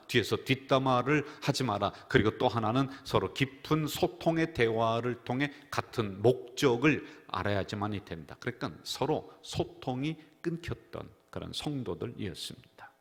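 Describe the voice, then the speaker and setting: A man's voice: medium pitch, lively intonation, normal speed, few pauses. A man, the senior pastor, preaching a Sunday sermon in a church sanctuary, telling stories and acting out the people he quotes. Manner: storytelling preacher who acts out quoted voices. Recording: reverberant church hall.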